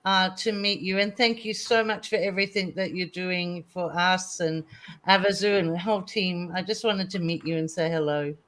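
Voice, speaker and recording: A woman from Australia, speaking gently and gratefully on a video call. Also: on the low side, fairly even intonation, normal speed.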